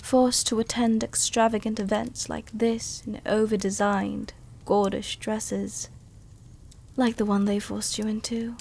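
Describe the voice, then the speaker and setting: Slow, soothing vampire countess played by a woman. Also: mid-range voice, flat delivery, vocal fry, darkish tone. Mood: wistful, bitter. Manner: slow, soothing, tender, teasing delivery. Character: seductive, protective noblewoman vampire countess, soft-dominant and comforting. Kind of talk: intimate ASMR roleplay, scripted character acting.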